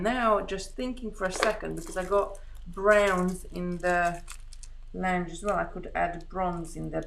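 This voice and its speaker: A woman's voice: lowish voice, vocal fry, a little hiss or hum, low-quality recording. A middle-aged woman from Hungary chatting casually on a live craft stream, rambling and thinking aloud as she works. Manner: rambling, chatty, thinking aloud while working with her hands.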